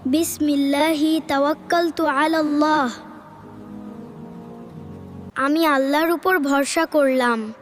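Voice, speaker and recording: A woman's voice: sits high, steady tone, slow pace, pauses often, soft background music. A woman voicing an eager, curious and devout little boy in a children's educational cartoon. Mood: earnest.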